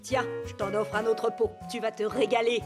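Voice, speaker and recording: High-voiced, kindly cartoon farm woman actress. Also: little pitch movement, normal speed, over music, close to an everyday voice. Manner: inviting, explanatory. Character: kind, generous woman on a bee farm. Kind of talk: cartoon dub acting.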